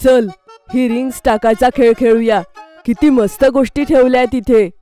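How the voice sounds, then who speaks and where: Cartoon schoolboy, played by a woman, boyish and earnest, fairly high voice, soft music behind. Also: fairly even intonation, normal speed, natural voice. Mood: eager. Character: eager young schoolboy, easily disappointed. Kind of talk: children's cartoon story acting.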